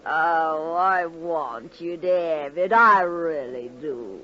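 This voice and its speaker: A woman's voice: low-pitched, lively intonation, takes it slowly, sharp tone, a little hiss or hum, full character voice. A woman playing a weepy, tipsy London barfly, in old-time radio drama style. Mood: coy. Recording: dull lo-fi radio recording.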